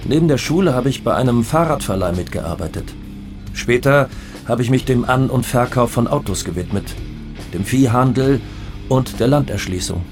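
An actor playing a proud, defiant Colombian drug baron in a radio drama monologue, declamatory and self-assured. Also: male voice, mid-range voice, lively intonation, normal speed, music in the background, natural voice.

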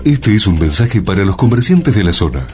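Un locutor de radio argentino que lee un anuncio promocional con tono cortés y pausado. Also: male voice, lowish voice, very animated. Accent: Argentine Spanish. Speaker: radio announcer. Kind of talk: promotional announcement. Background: music bed.

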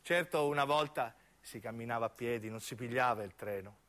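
A man's voice: mid-range voice, a little fast, fairly bright tone, voice pushed down, close to an everyday voice. Male actor as homely old woman, southern Italian accent, talkative, nosy, prodding, radio theatre. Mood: chatty.

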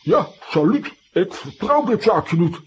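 An actor playing a naive, blustering, easily smitten adult hero in a children's radio play. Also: male voice, sits high, big pitch swings, unhurried, a little hiss or hum. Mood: alarmed. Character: gullible, excitable, lovestruck comic hero, adult man.

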